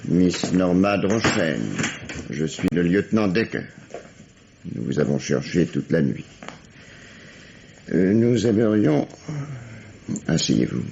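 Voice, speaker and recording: A harried, gruff police lieutenant in a 1950s radio drama. He is curt and sardonic, with a low voice that rises and falls sharply. The recording is dull and vintage. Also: animated, slow, long pauses, noisy background, exaggerated voice. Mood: dry, accusing. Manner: curt, sardonic, hesitant when flustered.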